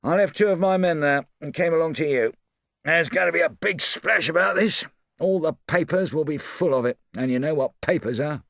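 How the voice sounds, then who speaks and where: Brief: male reader doing a brusque, raised-voice inspector, noticeably higher than his own register, dry close sound. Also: gravelly, a bit bright, dry recording. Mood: bluff. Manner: brisk, bluff, familiar. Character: blunt middle-aged male police inspector. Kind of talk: audiobook character voice.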